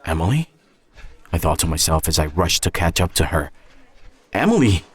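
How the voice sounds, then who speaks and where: A man narrating a scripted first-person story in a measured, reflective manner. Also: a bass voice, flat delivery, husky. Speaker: narrator and author.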